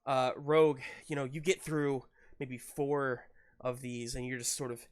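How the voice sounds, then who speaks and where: A man running a tabletop game, narrating and chatting off the cuff in a casual, halting way. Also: mid-range voice, level tone. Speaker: game master.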